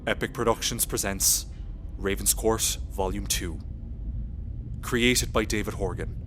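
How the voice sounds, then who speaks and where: A man's voice: measured pace, music in the background. A man reading a scripted introduction as the announcer of an audio drama. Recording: heavy rumbling background noise.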